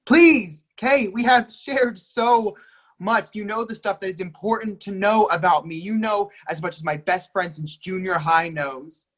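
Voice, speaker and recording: A woman's voice: mid-range voice, unhurried, close to an everyday voice. A woman in a play reading, playing a young lover who is heartbroken and pleading, hurt and sarcastic by turns. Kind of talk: readers theatre play reading.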